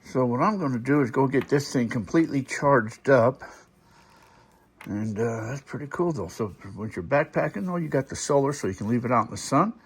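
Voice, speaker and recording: A man's voice: mid-range voice, expressive, fairly quick, breathy edge. A man giving a casual, unscripted product demonstration, thinking aloud in a folksy way as he looks things over. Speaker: product reviewer showing gadget on video. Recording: faint background noise.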